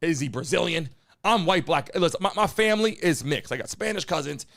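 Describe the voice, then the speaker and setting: Brash, high-voiced male live-stream host. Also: animated, a little fast, gravelly. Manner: hype-man shouting at the chat. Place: home studio in Miami.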